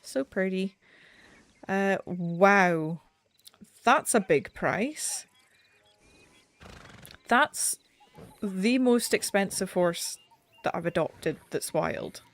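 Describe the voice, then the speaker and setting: A woman's voice: mid-range voice, lively intonation, extremely slow, long pauses. A woman streaming a game, chatting casually and self-deprecatingly while she plays, her voice slightly nasal. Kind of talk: casual gameplay commentary. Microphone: desk mic set back a little.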